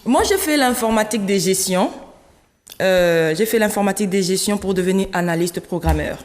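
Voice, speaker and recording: A woman in her late thirties from the Democratic Republic of Congo, telling her story as an interview guest, animated and laughing often. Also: mid-range voice, moderate pace. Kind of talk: conversational interview. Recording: long hall reverb.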